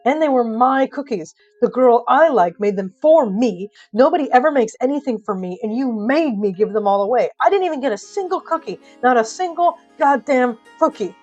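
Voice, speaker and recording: Female narrator as aggrieved everyman, comic horror tale, raised pitch, indignant and whiny. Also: lively intonation, moderate pace, hardly any pauses, a little mellow, highs cut off, faint music behind. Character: grumbling, put-upon man, aggrieved and exasperated.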